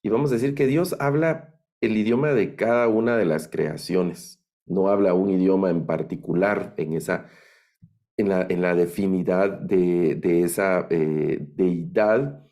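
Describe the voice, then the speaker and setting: A middle-aged man with a Guatemalan accent teaches an online class in a very low, gravelly voice. His tone is dark and mellow, and he thinks aloud, halting on fillers and restarting phrases as he explains. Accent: Guatemalan Spanish. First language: Spanish. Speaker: Bible language instructor. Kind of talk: online lecture.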